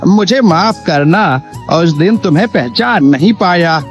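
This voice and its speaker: Man acting a slow-talking greedy village landlord. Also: high voice, animated. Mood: feigned apology. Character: greedy, cunning, falsely humble village landlord, adult man. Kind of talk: Hindi animated moral story acting. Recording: dull lo-fi sound, faint music bed.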